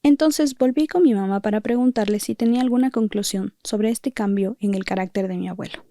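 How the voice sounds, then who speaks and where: A woman journalist hosting a podcast, giving measured, reflective scripted narration. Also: medium pitch, warm tone, dry recording, clean studio sound. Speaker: journalist host.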